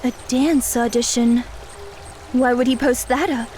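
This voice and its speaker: A woman's voice: a little high, fairly even intonation, measured pace, darkish tone, faint music behind, lightly acted. A woman playing an earnest, concerned and inquisitive young woman in an audio drama.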